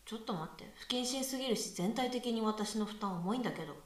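Woman acting a skeptical student, high echoey voice. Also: fast, close to an everyday voice. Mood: reasoning, dry. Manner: dry, retorting. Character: skeptical, exacting young female university student. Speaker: voice actress in amateur radio drama. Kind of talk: conversational radio drama dialogue. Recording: echoey room, far from mic.

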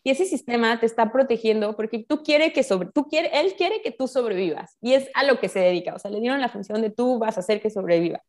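A woman with a Mexican accent explains ideas in a casual, expressive, conversational way full of colloquial slang. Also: a little high, brisk, hardly any pauses. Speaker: guest explaining spiritual ideas.

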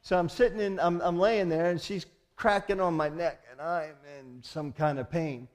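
A man's voice: on the high side, fairly even intonation, normal speed. A man preaching a sermon as a pastor, emphatic, often putting rhetorical questions to his congregation.

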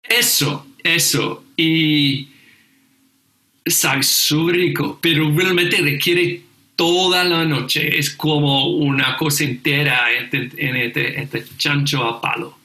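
A man's voice: a little high, steady tone, takes it slowly, bright, forceful tone, echoey room. A man from England, speaking as a guest in casual conversation, hesitant, with many fillers and restarts. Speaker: seminary teacher, guest.

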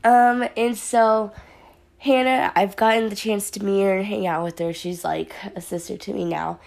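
Young woman, podcast host, casual and upbeat, hoarse from a sore throat, gravelly fry. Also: medium pitch, steady tone, normal speed, darkish tone. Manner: chatty, upbeat, filler-heavy. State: sore throat, slightly raspy voice. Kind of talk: casual solo podcast talk.

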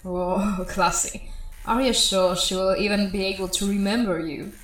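A woman's voice: medium pitch, fairly even intonation, normal speed, lightly acted. A woman playing a blunt, exasperated female systems technician in a sci-fi comedy audio drama, with sarcastic delivery. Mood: wry. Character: exasperated, scolding female spaceship systems tech. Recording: roomy echo.

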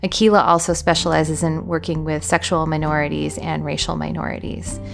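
A woman hosting a podcast, introducing and interviewing a guest, halting often with fillers. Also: sits low, little pitch movement, vocal fry, over music. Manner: warm, halting with many fillers. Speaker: podcast host.

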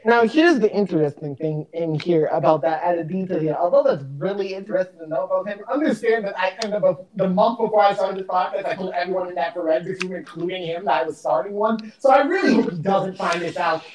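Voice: mid-range voice, quick pace, hardly any pauses, recorded from a distance. A young adult podcast host bantering and telling stories casually with friends in a teasing, jokey, profane way. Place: indoor room with several people. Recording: echoey room with group chatter.

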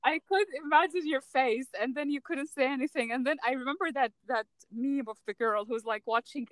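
A woman talks in relaxed conversation with a slightly high voice. She adds quick, lively quips and laughs easily. The sound is dry and close to the mic. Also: dry recording. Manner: animated, laughs often, jumps in with quips. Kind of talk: casual chat.

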